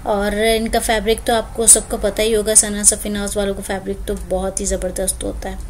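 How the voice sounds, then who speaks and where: A woman's voice: medium pitch, completely flat delivery, brisk, slight background noise. A woman, chatting through a clothing store walkthrough as a shopping vlogger, filmed on a phone. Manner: chatty, lists prices and discounts.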